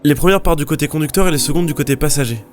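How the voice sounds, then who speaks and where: A man's voice: a little high, very fast, slight background noise, natural voice. A man playing a nervous, eager young police lieutenant in an audio drama, hesitant and deferential. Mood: nervous, reporting.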